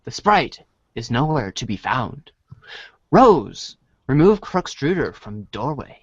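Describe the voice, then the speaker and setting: A man narrating a read-along storybook in a deliberate, theatrical way. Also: mid-range voice, very animated, breathy edge, dry recording. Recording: dry, dull lo-fi audio.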